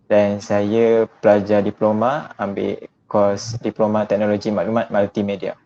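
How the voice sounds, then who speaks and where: A young man in his twenties from Malaysia, a university student answering interview questions politely and in a measured way. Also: fairly low pitch, monotone, dark tone. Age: 20s. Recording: dull lo-fi sound.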